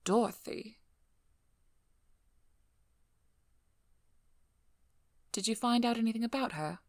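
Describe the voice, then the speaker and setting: A woman playing a devious, vigilant vampire hunter in a scripted ASMR roleplay, teasing and controlling, with a breathy voice that drops into whispers. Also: on the high side, fast talker, no room sound, studio quality, lightly acted. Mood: alarmed, disbelieving. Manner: teasing, playful, coolly controlling. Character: devious, vigilant female vampire hunter, flirty then businesslike. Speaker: audio roleplay performer.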